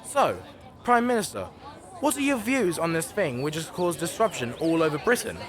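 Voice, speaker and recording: Young guy as eager live reporter, high lively voice, bit of crowd outside. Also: normal speed. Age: young adult. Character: eager male on-scene news reporter. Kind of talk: scripted news broadcast drama. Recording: outdoor scene with crowd behind.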